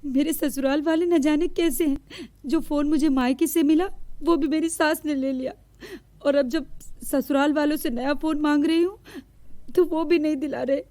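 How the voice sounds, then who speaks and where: A woman's voice: sits high, steady tone, normal speed, warm tone, no echo, lightly acted. A woman voicing an aggrieved young daughter-in-law in a moral-story cartoon, plaintive and earnest. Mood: tearful, hurt.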